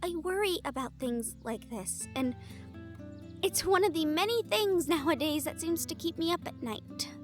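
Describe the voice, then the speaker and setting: A woman's voice: very high-pitched, animated, normal speed, faint music behind. A woman acting in an intimate audio roleplay as an anxious, tender young woman from a family of hunters, speaking earnestly and hesitantly. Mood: worried, earnest.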